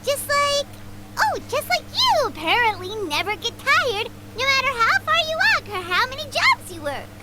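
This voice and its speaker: A woman voicing a tiny, chirpy, food-loving fairy companion with a squeaky childlike voice in a video game. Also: big pitch swings, normal speed, very noisy. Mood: perky. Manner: speaks of herself in third person, exclamatory. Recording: loud game music underneath.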